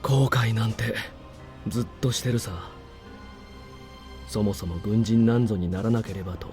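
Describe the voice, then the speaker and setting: A male actor voices a stern major from a visual novel. His voice is low and dark, with a grave, matter-of-fact delivery. He sounds like a soldier weighed down by regret, with faint music underneath. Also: fast talker, natural voice. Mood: bitter regret. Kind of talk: Japanese visual novel voice acting.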